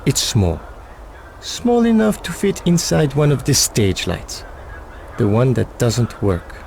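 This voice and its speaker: An actor playing a shady, guarded male fixer in a video game cutscene, who speaks tersely in a low voice. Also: wildly swooping pitch, takes it slowly, creaky, a little mellow, a little hiss or hum, heavily acted.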